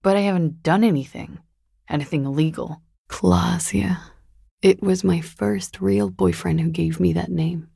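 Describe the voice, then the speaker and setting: A guarded, melancholic young woman on the run, speaks in a very low voice full of creak. Her delivery is subdued and confessional, breathy at the edges. The sound is very dry and close, with an intimate, evasive weariness. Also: steady tone, a little slow, warm tone, no echo, exaggerated voice. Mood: regretful, ashamed. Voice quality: low, breathy, husky and gravelly. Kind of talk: video game character dialogue, intimate and understated.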